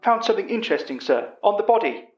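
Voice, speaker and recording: A man's voice: sits high, very animated, fast, roomy sound, highs cut off, faint music behind, voice pushed up. A man voicing an eager, deferential young British policeman in an audio horror story.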